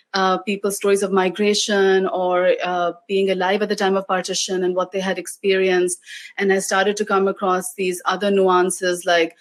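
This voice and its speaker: A woman from Pakistan, a guest oral historian, answering a panel question in a reflective, measured way with frequent pauses. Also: medium pitch.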